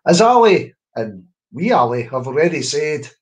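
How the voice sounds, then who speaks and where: A man's voice: on the high side, sing-song, normal speed. A Scottish man in his fifties, a children's poet, chatting encouragingly to schoolchildren over a video call from home and dropping in Scots words. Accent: Scottish, Scots-speaking. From Scotland. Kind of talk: online workshop talk to schoolchildren. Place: room at home. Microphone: webcam on a video call.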